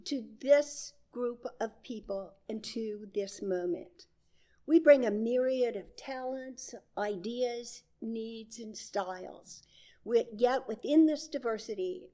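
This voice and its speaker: Slow-paced, higher-voiced woman leading worship. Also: warm tone. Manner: deliberate, solemn phrasing with pauses. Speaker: worship leader. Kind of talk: call to worship, measured ceremonial reading.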